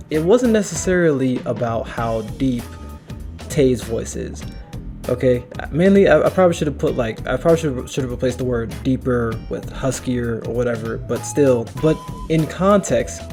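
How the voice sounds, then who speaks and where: A man hosting a reaction video, talking casually and repeating himself, with lots of fillers. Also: medium pitch.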